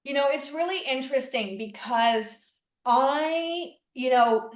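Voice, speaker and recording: A woman's voice: high voice, slow pace, far from the mic. A woman hosting a podcast, talking in an enthusiastic, emphatic way. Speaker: podcast host. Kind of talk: casual podcast conversation. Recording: echoey voice from off the mic.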